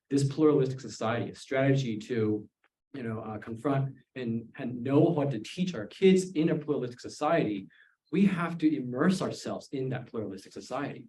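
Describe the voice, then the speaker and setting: A man's voice: medium pitch, darkish tone. A man from Taiwan who speaks as a pastor on a panel, emphatic and direct, often adding "you know" and "right". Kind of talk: panel discussion. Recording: echoey room from far off.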